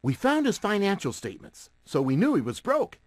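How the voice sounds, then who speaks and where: An actor playing a confident, upbeat young gang leader in a mystery cartoon, decisive and cheerful. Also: male voice, high-pitched, wildly swooping pitch, brisk, dry recording, heavily acted.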